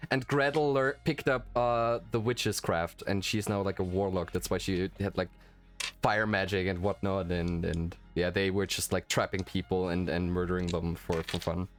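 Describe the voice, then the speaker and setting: A man's voice: low voice, fairly even intonation, normal speed. A man who is a native German speaker chats casually as game master and host after a game, talking in a rambling, enthusiastic way. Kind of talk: casual post-game chat. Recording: faint music behind the voice. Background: faint background music.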